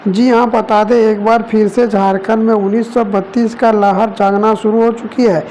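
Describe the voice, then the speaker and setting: A woman's voice: on the high side, level tone, moderate pace, mellow, slight background noise, poor audio. A woman reading scripted news narration for an online video in a repetitive, formulaic announcing manner.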